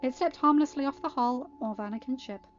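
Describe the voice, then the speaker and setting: A woman reading a fan fiction story aloud as an amateur narrator, in an expressive scripted style. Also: sits high, brisk. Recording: dull, band-limited audio with background music. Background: quiet music.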